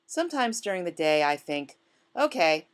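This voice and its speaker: A woman reading the part of a quiet, melancholy male office worker in a short story, hesitant and musing. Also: fairly low pitch, big pitch swings, moderate pace, clean tone, voice pushed up.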